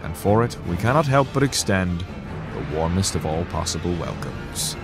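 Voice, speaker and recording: A man's voice: deep voice, expressive, moderate pace, music in the background. A man reading a scripted introduction as a podcast announcer, in florid, theatrical storyteller narration.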